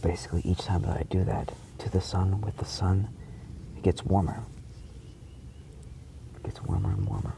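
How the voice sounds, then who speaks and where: A man giving a slow, earnest monologue, solemn and halting, repeating his phrases in a breathy, gravelly voice. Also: sits low, very animated, noisy background.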